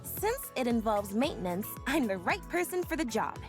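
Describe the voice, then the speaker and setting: High-pitched, confident game technician. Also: female voice, big pitch swings, moderate pace, music in the background. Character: capable, businesslike young maintenance specialist. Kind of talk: video game cutscene acting.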